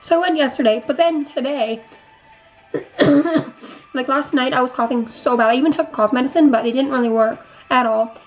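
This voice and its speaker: A woman's voice: sits high, normal speed, lo-fi sound. A young adult woman with a slightly stuffy, sick-sounding voice and a sore throat, giving a casual, rambling life update as a vlogger. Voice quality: slightly congested nasal voice. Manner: rambling, repeats and restarts thoughts. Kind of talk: casual chatty life update while doing makeup.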